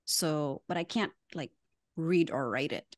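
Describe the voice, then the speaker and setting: A woman's voice: low voice, unhurried, no echo, studio quality. A woman from Pakistan, guest on a podcast, explaining things with an amused manner and frequent laughs. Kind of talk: casual interview chat.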